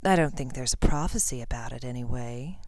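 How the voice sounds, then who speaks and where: A woman voicing a cruel, sneering older male wizard villain in a low, cold voice. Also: brisk, darkish tone, no room sound.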